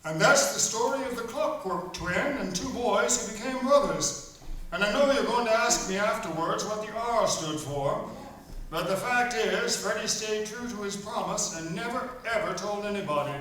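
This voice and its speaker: A man's voice: high voice, expressive, normal speed, sharp tone. A man hosting and narrating a live stage show, speaking to the audience as a storyteller. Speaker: narrator and host. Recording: very echoey noisy hall, distant mic.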